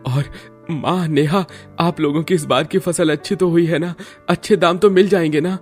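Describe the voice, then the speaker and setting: A man acts out a worried young family man in a cartoon story. His voice sits a little high, and he speaks in an earnest, plaintive way. Music plays behind him. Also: fairly even intonation, normal speed, close to an everyday voice. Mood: hopeful. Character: worried young husband and son, struggling food-cart seller. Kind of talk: Hindi cartoon story dialogue.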